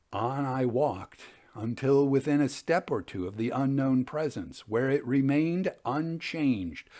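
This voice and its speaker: A man reading Victorian ghost tales as a storytelling host, in a measured, deliberate and expressive way. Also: mid-range voice. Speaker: host and reader of ghost stories.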